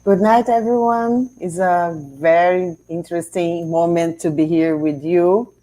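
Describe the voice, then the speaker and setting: A woman's voice: lowish voice, fairly even intonation, a little slow, dark tone, rough recording. Brazilian-accented woman, hesitant speech. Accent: Brazilian Portuguese. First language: Portuguese. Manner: hesitant, repeats words. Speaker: trainer. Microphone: video call.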